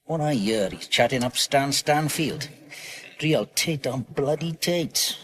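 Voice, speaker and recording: An actor playing a bossy, edgy British crime crew leader in a radio drama, giving clipped, commanding instructions. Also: male voice, medium pitch, expressive, slow, fairly bright tone, a little hiss or hum. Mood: grim, vengeful.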